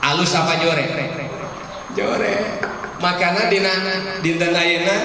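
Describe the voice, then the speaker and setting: Echoey, higher-voiced Sundanese male preacher. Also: noisy background. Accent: Sundanese-region Indonesian. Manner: rhetorical questions put to listeners, emphatic, folksy. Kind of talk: religious sermon to a gathering, mixing languages. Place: large echoing venue at a school event. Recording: echoey hall PA, distant, crowd behind.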